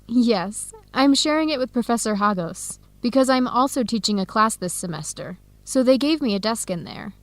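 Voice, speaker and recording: Radio drama role: American woman academic, keen and encouraging, fairly high voice, dry studio sound. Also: expressive, normal speed, few pauses, dry recording. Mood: businesslike, upbeat. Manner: enthusiastic, encouraging. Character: eager, friendly American woman researcher new to Ethiopia. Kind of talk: radio drama acting, clear educational dialogue.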